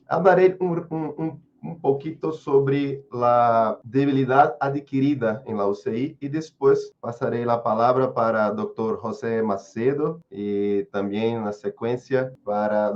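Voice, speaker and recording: Man, Brazilian accent, native Portuguese speaker, halting, many fillers, dull lo-fi call audio. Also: mid-range voice, a little mellow. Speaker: guest speaker, researcher. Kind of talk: webinar presentation.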